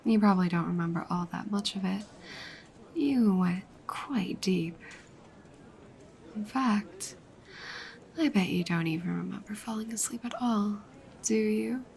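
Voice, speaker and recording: A woman playing a dominant, teasing goddess girlfriend in an ASMR roleplay, soft, breathy and often whispering, coaxing and commanding. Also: medium pitch, measured pace, pauses often. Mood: playful, possessive.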